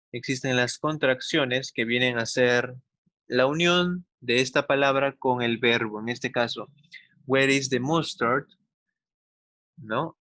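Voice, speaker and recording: A man teaching an English class for children, slowly enunciating examples and often checking in with short tag questions. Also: mid-range voice, pushed and bright, no room sound. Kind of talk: instructional online class for children.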